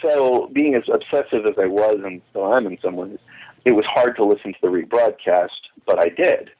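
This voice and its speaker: A man's voice: fairly low pitch, normal speed. A middle-aged man giving an enthusiastic, detailed, digressive account in a conversational interview, as a longtime fan reminiscing. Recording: dull lo-fi phone or call audio.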